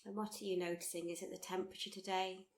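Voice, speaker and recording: A woman teaching guided meditation, speaking in a soothing, gently encouraging, unhurried way with repeated phrases. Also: mid-range voice, little pitch movement. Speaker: meditation teacher leading a body scan. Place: live workshop.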